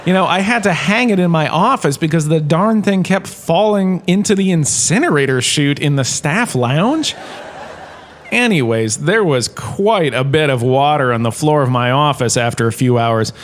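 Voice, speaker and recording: A somewhat high-voiced male history lecturer, played by an actor, vain and bumbling. He holds forth like the faded star he is, self-important and theatrical, rambling through his class in a comedic audio drama. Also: expressive, moderate pace, a little hiss or hum, close to an everyday voice. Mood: chatty, self-satisfied.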